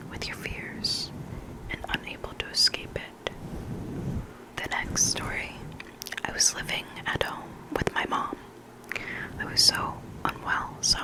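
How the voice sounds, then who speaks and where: A hushed, breathy whisper reading stories slowly and deliberately in ASMR style, close to the microphone. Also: rough recording. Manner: slow, deliberate, hushed, with repeated trigger words. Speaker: host reading listener horror stories.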